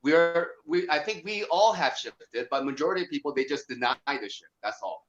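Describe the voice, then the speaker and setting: A man speaking as a guest, working through his ideas slowly and filling pauses with "uh" and "like." His voice sits a bit high for a man. The sound is dull and lo-fi. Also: expressive, soft background music. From America. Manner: thoughtful, hesitant, many fillers. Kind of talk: casual interview talk.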